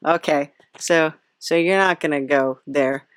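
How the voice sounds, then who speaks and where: A woman's voice: low voice, measured pace, clear, resonant tone, no room sound. A woman hosting a podcast in a casual, chatty, informal way, rambling a little. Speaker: podcast host and creator.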